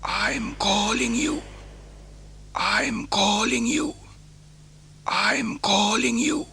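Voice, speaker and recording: A man in an experimental sound piece repeating a phrase in a whispery, gravelly voice with electronic processing. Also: very high voice, bright, forceful tone, a little hiss or hum. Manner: drawn-out repetition of one phrase. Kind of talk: experimental text-sound composition.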